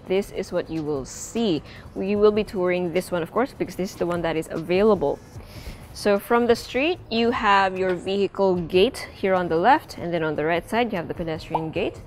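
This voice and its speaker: A woman's voice: medium pitch, a little hiss or hum. A young woman with a Filipino accent hosting a casual walkthrough tour of an empty house, chatty and switching languages mid-sentence. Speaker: real estate tour host. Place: indoors and outdoors in an empty house.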